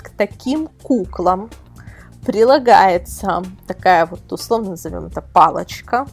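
A woman with a mid-pitched voice talks as an invited podcast guest. She explains in a casual, wordy way, adding qualifiers as she goes. Music plays behind her. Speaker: invited guest, editor of an adult toy website.

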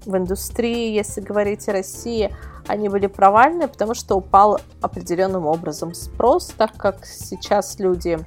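A woman's voice: mid-range voice, steady tone, moderate pace, soft background music. A woman speaking as an expert guest on a casual podcast, explaining things in a chatty way. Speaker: guest, magazine-style portal editor. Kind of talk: casual podcast interview answers.

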